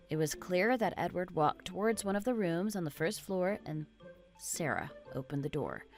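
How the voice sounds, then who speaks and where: A woman voices a troubled wife in a narrated drama story. She explains things in a measured, somewhat low voice over faint background music. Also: close to an everyday voice. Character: wronged wife recounting her suspicions, apologetic and troubled. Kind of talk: scripted first-person story narration.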